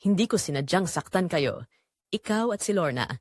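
Woman reading as an earnest, remorseful husband, expressive. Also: fairly low pitch, normal speed, breathy edge, no room sound, studio quality. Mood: earnest regret. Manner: earnest, apologetic. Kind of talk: audio drama dialogue.

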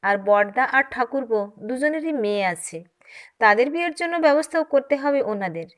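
A calm, generous young-hearted wife acted out by a woman storyteller in an audio story. She speaks in a fairly high voice, gently persuading and reassuring. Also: moderate pace. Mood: respectful, earnest.

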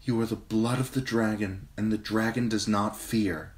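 A man's voice: lowish voice, steady tone, moderate pace, pitched above the performer's own voice, lightly acted. A man reading an audiobook, voicing a proud young queen, commanding and cold when she gives orders. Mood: hushed. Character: young queen, proud, frightened but defiant woman.

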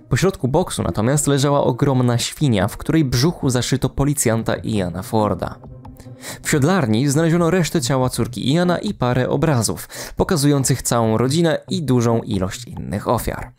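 A man's voice: medium pitch, a little mellow. Man narrating commentary over music. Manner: sardonic, slangy. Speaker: video essay host. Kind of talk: casual scripted commentary on horror series. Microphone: close studio microphone. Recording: music behind the voice.

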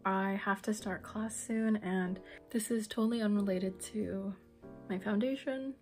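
A woman's voice: mid-range voice, darkish tone. Candid young woman vlogging, swearing. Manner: candid, swears freely, drops to whispers. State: losing her voice. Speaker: beauty vlogger. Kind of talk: casual vlog chat.

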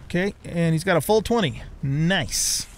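A middle-aged man with a somewhat high voice hosts a let's play. He comments casually and reacts as he goes, talking to himself and the game with some mild swearing. Some background noise sits behind his voice. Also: a little slow.

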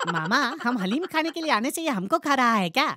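A woman voicing a cheeky young scheming pal in a cartoon comedy story, with exaggerated, animated delivery. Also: high-pitched, brisk, noisy background. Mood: puzzled, eager. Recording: loud music behind the voice. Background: loud music.

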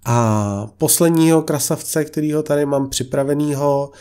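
Young male car vlogger, casual and opinionated, mellow low-key delivery. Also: fairly even intonation, smooth. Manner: chatty, heavy filler words, blunt opinions. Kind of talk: casual car listing commentary.

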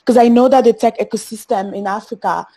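A woman from Cameroon, a native French speaker, moderating an online panel and asking questions in a measured way. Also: a little high, fairly even intonation, dark tone.

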